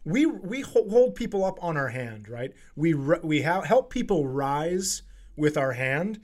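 A male comedian hosting a podcast in casual comic chat, riffing in an animated, profane, self-mocking way. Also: fairly high pitch, measured pace.

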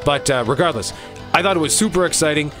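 A man co-hosting a podcast in casual conversation, speaking in a halting way as he thinks aloud. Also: on the high side, a bit bright. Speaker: podcast co-host. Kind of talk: casual chat about TV. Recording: music behind the talk.

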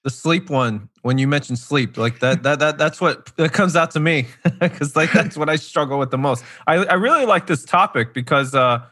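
Bright eager podcast co-host. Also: male voice, fairly high pitch, normal speed. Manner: eager, rambling. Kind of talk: casual podcast chat.

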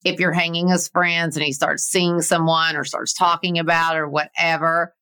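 A woman's voice: lowish voice, flat delivery, moderate pace, sharp tone, smooth. A woman co-hosting a podcast in casual, informal chat, talking as if to a girlfriend. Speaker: podcast co-host.

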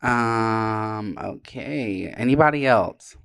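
A man's voice: fairly low pitch, slow, vocal fry, fairly bright tone, smooth. A man co-hosting a casual livestream with banter and prank calls, talking in a slangy, sassy way. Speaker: co-host making prank calls.

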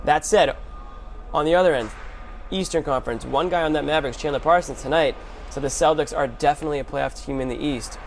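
A man's voice: on the high side, a little fast. A man hosting a sports show, giving informal, slangy basketball commentary in a conversational way. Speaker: sports podcast host. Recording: clear background noise and hum.

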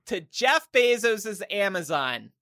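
A man's voice: high voice, big pitch swings, slow pace, bright, forceful tone, dry recording, studio quality. A man co-hosting a comedy podcast, playing up mock outrage in his banter.

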